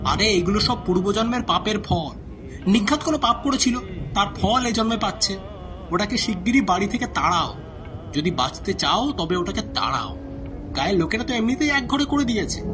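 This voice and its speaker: A woman playing a nagging, scornful, superstitious village neighbour in an animated story, insistent and scolding. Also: mid-range voice, normal speed, music in the background, lightly acted. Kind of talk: acted dialogue in animated story.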